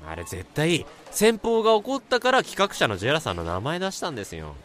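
An actor playing an excitable, gossipy and anxious junior office worker in a Japanese radio drama. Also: male voice, on the high side, fairly quick. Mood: indignant, gossipy.

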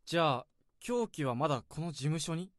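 An actor playing an eager young office junior. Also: male voice, fairly high pitch, expressive, moderate pace, dry recording, studio quality, natural voice. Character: earnest young male office junior, former baseball player. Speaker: junior coworker. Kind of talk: radio drama acting.

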